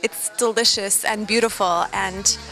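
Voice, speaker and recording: A woman's voice: medium pitch, steady tone, unhurried, gravelly, sharp tone, rough recording. A woman with an American accent giving a testimonial as a student in an interview. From United States. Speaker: culinary student. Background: music behind the voice.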